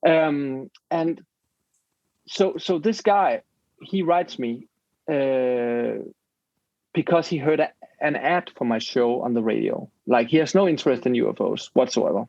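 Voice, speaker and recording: A man tells a story casually in English, often restarting his sentences and groping for the right word. His voice is gravelly and sits at an ordinary pitch. The recording sounds dry and somewhat dull. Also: a little slow, a little mellow, no room sound. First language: Danish. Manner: searches for English words, many restarts. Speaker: podcast host.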